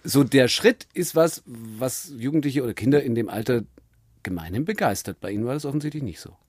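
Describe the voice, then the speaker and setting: A man's voice: medium pitch, expressive, fairly quick, darkish tone, dry recording. Host: man running a radio interview, probing and playful, dry studio sound. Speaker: talk show host.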